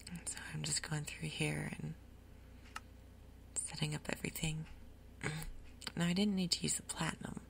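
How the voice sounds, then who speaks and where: A woman doing soft-spoken ASMR game commentary in a breathy half-whisper, with a casual, rambling, unhurried manner. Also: deep voice, fairly even intonation, slight background noise. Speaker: gaming video host.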